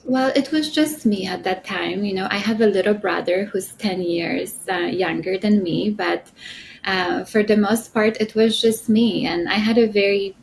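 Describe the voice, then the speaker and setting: A middle-aged woman from Poland, a podcast guest speaking softly and reflectively with many fillers. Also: mid-range voice, measured pace, gravelly, a little hiss or hum, poor audio. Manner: soft-spoken, reflective, many "you know" fillers. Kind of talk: interview conversation.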